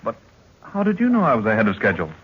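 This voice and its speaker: An actor playing an eager, earnest young adventurer in an old-time radio drama. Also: male voice, on the low side, huge pitch swings, racing. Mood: breezy, chatty. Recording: muffled, hissy old radio recording.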